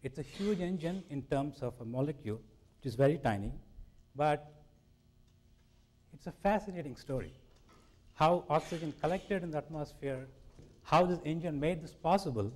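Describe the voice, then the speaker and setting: A middle-aged man with an Indian accent giving a public science lecture in an informal, self-deprecating way with lively emphasis. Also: a little high, normal speed, long pauses.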